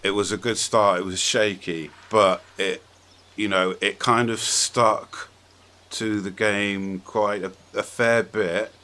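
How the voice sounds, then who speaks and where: A man hosting a casual podcast discussion about films, giving blunt opinions in halting, hesitant phrases. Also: fairly low pitch, fairly even intonation, sharp tone. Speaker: podcast host.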